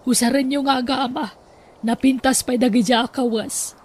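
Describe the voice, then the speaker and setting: An actress with an Ilocano accent playing a kindly, generous well-off employer in a radio drama. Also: female voice, high-pitched, fairly even intonation, normal speed, darkish tone, husky.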